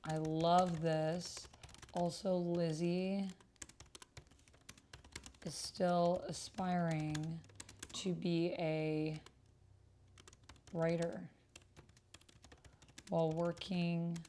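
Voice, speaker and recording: Low-pitched woman, casual livestream chat. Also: flat delivery, extremely slow, long pauses, dark tone, a little hiss or hum. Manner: murmurs to self while typing and reading messages aloud. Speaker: streamer and host. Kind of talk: casual livestream chat while playing a text roleplay game. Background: computer keyboard typing.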